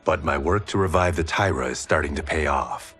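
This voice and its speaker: A man's voice: extremely low pitch, brisk, fairly bright tone, faint music behind. An actor playing a courteous, dutiful blind swordsman in a video game, understated and wry. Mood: wry, friendly. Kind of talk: cinematic game cutscene acting.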